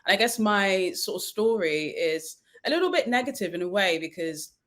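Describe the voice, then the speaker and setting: A young adult woman who grew up in Nigeria and London tells a personal story as a panel guest. She speaks in an earnest, reflective way, in a steady voice at a middle pitch. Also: moderate pace. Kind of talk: casual discussion.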